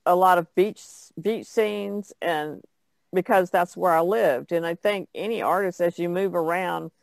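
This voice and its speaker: A woman's voice: on the low side, unhurried, vocal fry, dry recording. A woman, an artist guest, chatting casually in a rambling way that trails off. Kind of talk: casual chat.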